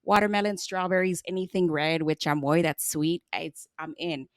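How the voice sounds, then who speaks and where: A chatty middle-aged woman hosts a podcast conversation, her voice set a little low. She laughs easily and often restarts her sentences. The sound is dry and close. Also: moderate pace, no room sound. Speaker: podcast host. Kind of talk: casual interview chat.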